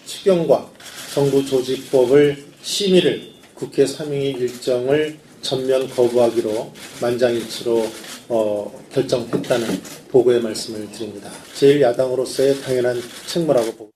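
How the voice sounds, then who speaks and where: A man's voice: medium pitch, moderate pace, dark tone, slight background noise. A man giving a formal statement as a politician. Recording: echoey archive clip, distant mic.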